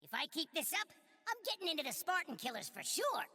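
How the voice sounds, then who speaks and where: A woman playing an ambitious, boastful little alien warrior in a video game, gravelly, eager and self-important, with long reverb. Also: sits high, big pitch swings, fairly quick, pushed and bright, full character voice. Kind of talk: video game character monologue.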